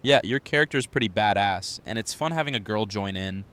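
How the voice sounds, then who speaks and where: A man's voice: fairly low pitch, expressive, brisk, a bit bright. A man playing a brash, crude, casually teasing guy in an audio drama. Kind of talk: scripted audio drama acting.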